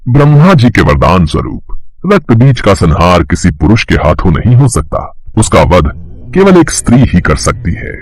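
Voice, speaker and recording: A man's voice: sits low, wildly swooping pitch, moderate pace, exaggerated voice. A man voicing a devout divine sage who counsels the gods formally in a mythological story. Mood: grave advice. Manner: formal, advisory. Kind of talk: Hindi mythological audio story acting.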